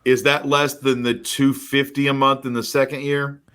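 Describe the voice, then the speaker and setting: A middle-aged man co-hosting a mortgage podcast, talking in an energetic, emphatic storytelling way. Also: medium pitch, moderate pace, smooth. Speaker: podcast co-host, mortgage professional. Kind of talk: casual chat.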